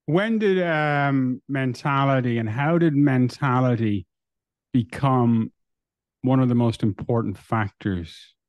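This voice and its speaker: A man's voice: mid-range voice, darkish tone, dry recording. A male podcast host in a dry, close recording, asking his guest questions. He starts over partway through and pieces his questions together as he goes. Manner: asks questions in halting, restarted phrases. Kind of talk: interview questions.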